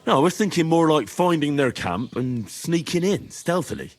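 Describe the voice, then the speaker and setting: An actor playing an earnest, level-headed and loyal young squire in a medieval video game. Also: male voice, fairly high pitch, big pitch swings, normal speed. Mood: reasoning. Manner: earnest, reassuring. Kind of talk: video game cutscene acting.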